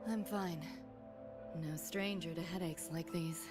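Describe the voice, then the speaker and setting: A fairly low voice, as a stoic young fighter woman in a video game. She is guarded, terse and matter-of-fact over loud music. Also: fairly even intonation, slow pace. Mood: cool, resolute.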